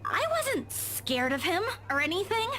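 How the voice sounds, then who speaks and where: An actress playing a spirited, animated young woman in a visual novel game, exclamatory and quick to protest. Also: very high voice, slight background noise, heavily acted. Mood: indignant. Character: spirited, indignant young Filipina woman, polite to strangers. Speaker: video game character. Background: music.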